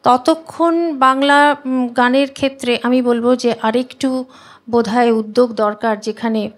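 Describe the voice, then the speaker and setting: High voiced woman singer being interviewed, earnest, repeats stuff for emphasis. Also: steady tone, measured pace. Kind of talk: conversational interview answers.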